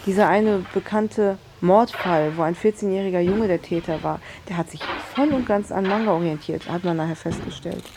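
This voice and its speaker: A woman's voice: lowish voice, normal speed, hardly any pauses, background noise. A woman explaining things in casual talk, thoughtfully searching for her words. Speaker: interviewee talking about manga. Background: music.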